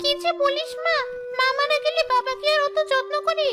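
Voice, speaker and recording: A gossipy middle-aged cartoon aunty, pitched piercingly high above background music. She meddles, nags and grumbles at everything. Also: steady tone, normal speed. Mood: meddling, warning. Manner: nagging, complaining, gossipy. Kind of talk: children's cartoon story acting.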